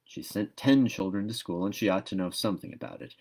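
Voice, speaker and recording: A man's voice: on the low side, fairly quick, a little mellow. A no-nonsense older woman from a storybook as a male reader plays her. She is shrewd and practical, talking in a curt, folksy way. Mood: pondering.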